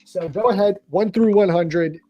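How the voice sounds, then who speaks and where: Upbeat man in his thirties, higher voice. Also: moderate pace, warm tone, highs cut off, faint music behind. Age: 30s. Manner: upbeat, chummy, says bro a lot. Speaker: livestream host. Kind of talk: casual livestream chat.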